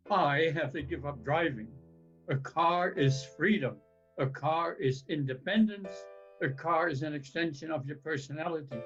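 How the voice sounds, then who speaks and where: A man's voice: on the high side, fairly bright tone, muffled recording. A man in his seventies playing a proud, resentful elderly husband in an audio play, protesting indignantly. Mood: defiant. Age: 70s. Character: proud, resentful 77-year-old husband losing his driving. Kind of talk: audio play stage acting.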